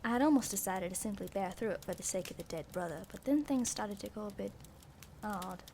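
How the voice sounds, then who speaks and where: A woman playing a wry, suspicious young journalist who narrates an amateur radio mystery in a deliberate, dry way. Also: mid-range voice, darkish tone, natural voice. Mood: wry, brooding. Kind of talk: scripted first-person mystery narration. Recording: faintly noisy home recording.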